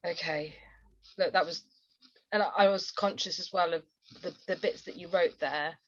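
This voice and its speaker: A woman's voice: fairly low pitch, fairly even intonation, normal speed, a bit bright, dry recording. Woman chairing a panel over a video call. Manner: supportive, conversational interviewer. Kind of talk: online panel discussion. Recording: dull, dry video call audio.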